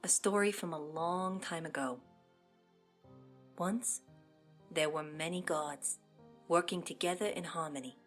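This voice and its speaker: A woman voicing an opossum mother in an animated fandub, telling a story with drama and casual, playful asides, easily flustered. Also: lowish voice, a little slow, long pauses, close to an everyday voice. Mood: earnest, solemn. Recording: loud music behind the voice.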